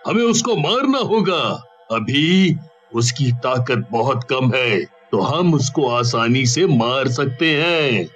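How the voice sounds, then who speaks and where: An actor playing a calm, authoritative, cautious village chief in a horror story. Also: male voice, medium pitch, slow, faint music behind. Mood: grave. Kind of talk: animated horror story dialogue.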